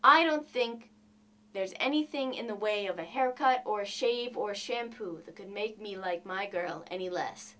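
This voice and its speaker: A woman's voice: mid-range voice, moderate pace, sharp tone, close to an everyday voice. A woman voicing an affectionate, easygoing young husband in a storybook reading, plain-spoken and emphatic. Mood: reassuring. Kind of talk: storybook character dialogue.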